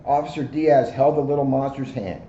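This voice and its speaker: A police officer, a man, reading a storybook aloud to children in an expressive, deliberate way. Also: medium pitch, muffled recording. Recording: roomy, band-limited, faint background noise.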